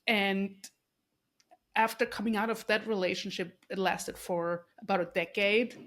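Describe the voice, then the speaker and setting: A woman's voice: mid-range voice, fairly even intonation, unhurried. Austrian-born woman hosting a podcast. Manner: warm, effusive, many restarts and fillers. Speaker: podcast host. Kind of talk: casual interview conversation.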